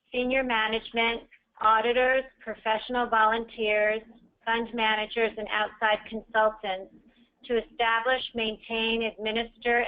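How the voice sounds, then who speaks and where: A woman reading a formal, scripted introduction as moderator of a conference call, in a measured, carefully enunciated way. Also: fairly high pitch. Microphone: conference call line. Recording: dull, lo-fi conference call audio.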